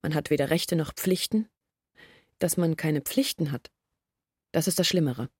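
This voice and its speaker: Earnest village woman, literary radio drama, measured and candid, lower register, close dry recording. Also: animated, darkish tone, husky, dry recording. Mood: candid confessing. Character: earnest village woman confessing her family's struggle.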